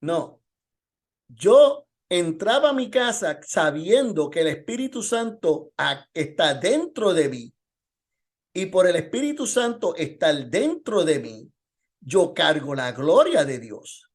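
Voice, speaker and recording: Male pastor, high-pitched voice, informal Bible-lesson delivery with testimony and pointed rhetorical questions. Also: sing-song, takes it slowly.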